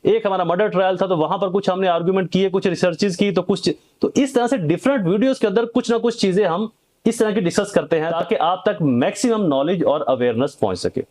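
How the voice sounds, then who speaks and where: A man's voice: sits high, a little fast, darkish tone. A man with a Pakistani accent giving an informal, emphatic legal lecture to viewers, mixing in English legal terms and posing rhetorical questions. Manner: emphatic, poses rhetorical questions and answers them. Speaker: advocate and legal explainer.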